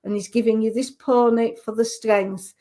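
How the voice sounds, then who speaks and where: A woman with a Yorkshire accent giving a live psychic reading on the radio, gentle and reassuring, often restarting her sentences. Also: on the high side, fairly even intonation, moderate pace.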